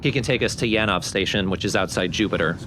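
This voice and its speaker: A man giving casual, informal gameplay commentary, explaining things as the host of a let's play. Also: fast talker. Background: game sound effects and rumble.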